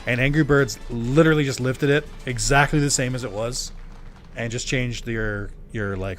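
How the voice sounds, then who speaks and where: A young man streaming a game live, chatting loosely with many fillers while his voice starts to go hoarse. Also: fairly low pitch. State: voice going hoarse. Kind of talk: casual live-stream chat while gaming.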